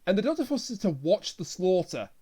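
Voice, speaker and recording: Guy with a high voice just chatting on a review podcast. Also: big pitch swings, brisk, mellow, slightly rough. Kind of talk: casual discussion.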